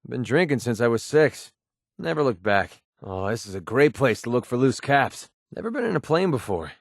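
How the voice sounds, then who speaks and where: A young American gun-for-hire in a video game. He is a streetwise, cynical wisecracker who talks informally in a medium-pitched voice. The recording is dry and close, with no room sound. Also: big pitch swings, moderate pace, gravelly, no room sound. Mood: wry, casual. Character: young cynical mercenary man, wisecracking, streetwise wasteland companion. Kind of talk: video game companion dialogue acting.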